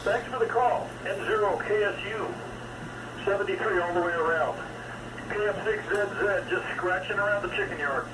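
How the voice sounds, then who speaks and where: High-pitched older man on noisy ham radio. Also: moderate pace. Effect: shortwave single sideband radio. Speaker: amateur radio operator. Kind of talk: casual chat over ham radio. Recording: noisy, echoey, distant single sideband radio reception.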